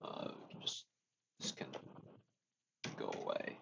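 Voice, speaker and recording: A man's voice: measured pace, highs cut off, noisy background. A young man, chatting while he codes on a live stream, thinking aloud, restarting phrases and chuckling often. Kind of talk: casual live-stream coding chat.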